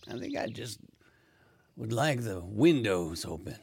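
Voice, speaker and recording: An actor playing a weary, wry dying man in hospice care in an audio play, speaking in a halting, wistful way. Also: on the low side, very animated, vocal fry, a little mellow, a little hiss or hum, full character voice. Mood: calm, wistful.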